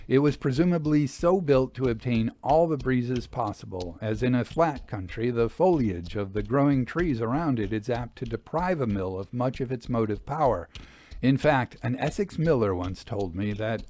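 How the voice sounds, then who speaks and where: A man narrating an old-fashioned literary story in a measured, expressive storytelling manner. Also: medium pitch. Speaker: audiobook reader. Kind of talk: scripted literary narration of a Victorian travel memoir.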